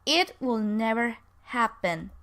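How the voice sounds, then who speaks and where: A woman's voice: a little high, animated, takes it slowly, a bit bright. Woman voicing an indignant, defensive married man. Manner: earnest, emphatic, protesting. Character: defensive, indignant married man facing his old flame.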